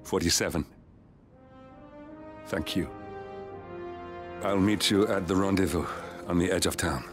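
An actor playing a grave, vengeful rebel leader in a video game, speaking in a measured, bitter way. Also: male voice, low-pitched, music in the background. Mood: grim satisfaction. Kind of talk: video game cutscene acting. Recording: echoey hall reverb.

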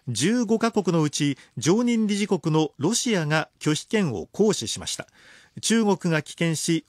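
A man reading a scripted radio news bulletin in a formal, measured, clearly articulated way. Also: on the high side, no echo, studio quality. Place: radio studio.

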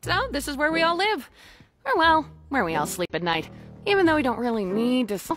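An actress playing a kind, worried, earnest young rag doll in an animated series. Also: female voice, sits high, fairly quick, faint music behind. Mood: friendly, unsure. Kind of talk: animated web series acting.

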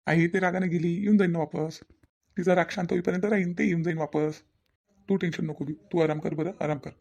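A man voicing a lovesick, dutiful young husband in a cartoon drama, soft-spoken and repetitive, with a Varhadi Marathi accent. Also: a little high, fairly even intonation, fast, creaky. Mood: reassuring. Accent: Varhadi Marathi from Vidarbha. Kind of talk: cartoon family drama dialogue.

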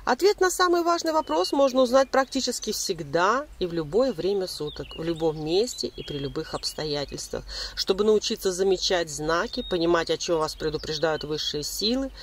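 Woman narrating over clear background noise. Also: on the low side, animated, moderate pace, hardly any pauses. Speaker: narrator giving spiritual self-help advice.

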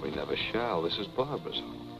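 An actor playing a suave young husband in a 1940s film melodrama, wry, evasive and easily exasperated. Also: male voice, deep voice, animated, brisk, creaky, heavily acted. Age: young adult. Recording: muffled 1940s film soundtrack, music behind.

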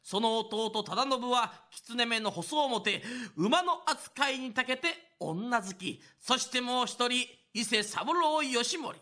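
A man's voice: very high voice, bright, forceful tone. A man narrating a radio drama as a traditional Japanese storyteller, in a theatrical scripted kodan style.

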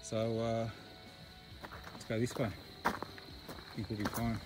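A man's voice: medium pitch, completely flat delivery, measured pace, over loud music. Puffing Australian man on a night hike. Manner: breathless asides, puffing between phrases. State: out of breath. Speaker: hiker vlogger. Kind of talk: casual vlog commentary while hiking. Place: outdoor bush trail at night. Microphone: camera held close at arm's length.

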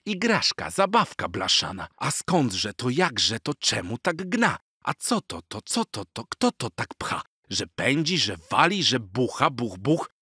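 Slow, lively male children's rhyme reader. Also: medium pitch, no echo, clean studio sound. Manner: theatrical, rhythmic delivery. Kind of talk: expressive scripted reading of children's rhymes.

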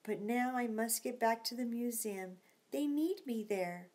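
A big friendly storybook dinosaur , as a woman reads him, speaking high and slowly. He is gentle, agreeable and very polite. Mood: wistful farewell. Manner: polite, slow, simple storybook speech.

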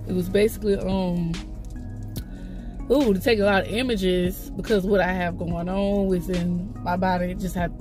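A woman, chatting to her phone camera from a parked car. She sounds congested and rambles, with lots of fillers and restarts. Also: mid-range voice. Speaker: vlogger. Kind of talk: casual vlog chat to camera. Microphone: phone camera held close.

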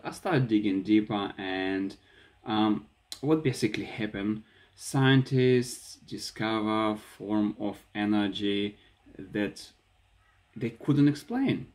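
Man, 30s, Ukrainian accent, lowish voice, animated, phone-camera vlog. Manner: animated, gestures with his hands while talking. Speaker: vlogger. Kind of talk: casual talk to camera. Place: small room indoors. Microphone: phone camera at arm's length.

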